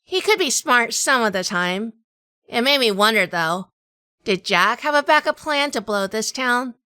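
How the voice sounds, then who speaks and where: A woman acting a tough, wary noir heroine who tells her own crime story in an audio drama. Her voice is bright and very clear, recorded close and dry. She delivers scripted narration with hard-boiled self-possession. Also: fairly high pitch, animated, a little slow, dry recording. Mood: wary, cool.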